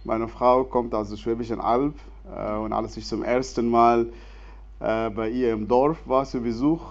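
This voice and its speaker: A man from Sudan, in his forties, talks in German, pausing often and laughing easily. His voice sits in a middle register. The sound is slightly dull, recorded in a church hall. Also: medium pitch, fairly even intonation. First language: Arabic. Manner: earnest, many hesitations, non-native grammar, laughs easily. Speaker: guest speaker, theologian. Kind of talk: church stage interview.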